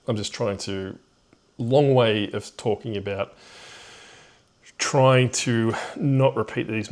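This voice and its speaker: Low-pitched, halting man. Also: creaky. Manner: hesitant, halting, with rueful chuckles. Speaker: podcast host telling a personal story. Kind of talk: unscripted reflective personal storytelling.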